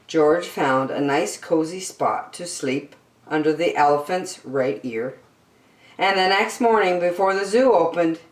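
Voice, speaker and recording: A woman hosting story time and reading a children's picture book aloud in a deliberate, expressive way for young listeners. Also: sits low. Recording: echoey room, distant microphone.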